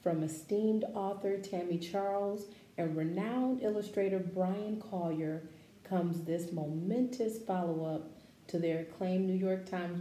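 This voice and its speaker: A woman reading a picture book aloud for children's story time, expressive and deliberate, with casual asides and questions to young listeners. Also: lowish voice. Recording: roomy echo, faint background noise.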